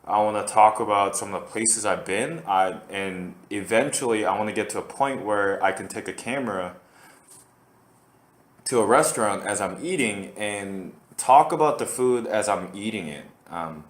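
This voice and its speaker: A man's voice: on the low side, expressive, moderate pace, roomy sound. A man from Korea, hosting a casual solo podcast and rambling with lots of fillers and restarts. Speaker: podcast host.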